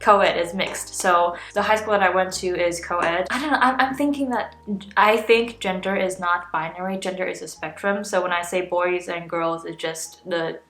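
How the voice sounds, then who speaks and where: A woman's voice: on the low side, normal speed, fairly bright tone. Taiwanese woman chatting in an echoey room. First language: Mandarin Chinese. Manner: thoughtful, rambling with many restarts and fillers. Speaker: graduate student vlogger. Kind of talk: casual talk to camera.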